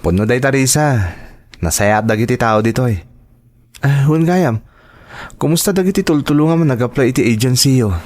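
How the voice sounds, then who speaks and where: A man's voice: mid-range voice, animated, normal speed, long pauses, darkish tone, lightly acted. A man with an Ilocano accent playing an earnest, respectful adult son in an audio drama. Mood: hopeful. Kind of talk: Ilocano radio-style drama acting.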